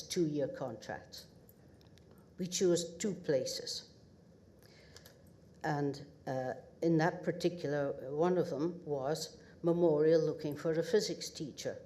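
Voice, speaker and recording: Slow older woman, northeast Scottish accent. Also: deep voice, warm tone. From northeast Scotland. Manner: halting, with pauses and fillers, wry humour. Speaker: award recipient. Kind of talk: acceptance speech. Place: ceremony hall at a podium.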